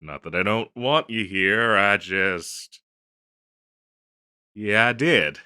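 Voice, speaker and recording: Low-voiced, flustered harpy boyfriend, acted by a man. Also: expressive, slow, bright, forceful tone, clean tone, no echo, clean studio sound, heavily acted. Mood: embarrassed, apologetic. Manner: hesitant, stammering, apologetic. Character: flustered, self-conscious young male harpy boyfriend, tender and apologetic. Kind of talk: scripted audio roleplay, intimate one-sided dialogue.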